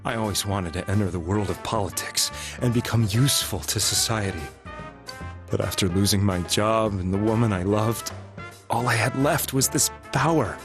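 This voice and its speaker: An actor playing a remorseful, weary man in a video game, speaking in a subdued, halting, earnest way. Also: fairly low pitch, over music, close to an everyday voice. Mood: remorseful, resigned. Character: remorseful, weary male ex-political hopeful confessing his crimes. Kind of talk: English game voice acting.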